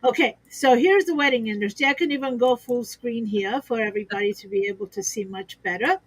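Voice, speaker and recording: A woman's voice: a little high, a little fast, no echo, poor audio. A woman speaking as an expert guest on a business livestream, upbeat and explanatory, laughing easily as she gives examples over a video call. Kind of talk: conversational business talk.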